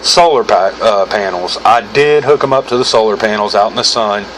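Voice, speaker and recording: Low-voiced American man, casual gear review. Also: moderate pace, a bit bright. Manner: informal, rambling, self-correcting. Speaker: gear reviewer hosting his own video. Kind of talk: casual unscripted product review. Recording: clearly noisy background hum.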